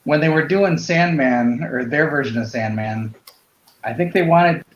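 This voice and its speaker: A man in casual conversation about comics, explaining things in a tentative, halting way as a panelist. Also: on the high side, reverberant, lo-fi sound. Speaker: panelist on a comics drawing show.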